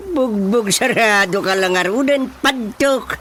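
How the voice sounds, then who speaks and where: A man's voice: high voice, a little slow, a bit bright, slight background noise. An actor with an Ilocano Filipino accent playing an exasperated, exclaiming village chairman in a radio comedy drama. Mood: scolding. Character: excitable village chairman, exasperated comic official.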